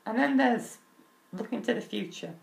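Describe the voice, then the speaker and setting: Crackly, high-voiced young man. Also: lively intonation, gravelly. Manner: open and earnest, halting restarts, nervous laughs. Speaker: vlogger. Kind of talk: candid personal talk.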